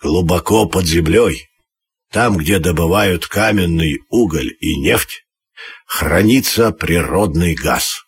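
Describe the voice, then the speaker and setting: Ancient female crow for a storybook tale, played by a man: low, husky, knowing, schooling the young. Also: dry recording, full character voice. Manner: knowing, instructive. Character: two-hundred-year-old female crow, knowing and unhurried explainer.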